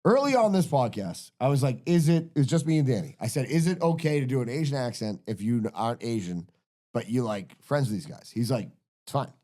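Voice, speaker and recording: A man's voice: medium pitch, brisk. Fifties guy hosting a podcast, voice bouncing all over, cussing and spinning stories. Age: 50s. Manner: animated storyteller, swears freely. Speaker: podcast host. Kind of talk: casual banter.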